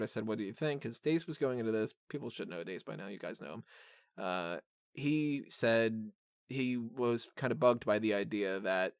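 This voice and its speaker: A man's voice: on the low side, smooth, no room sound. Man podcasting in a very dry recording. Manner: rambling, conversational, frequent "like" and "kinda". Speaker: podcast host reviewing a film. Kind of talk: casual unscripted review chat.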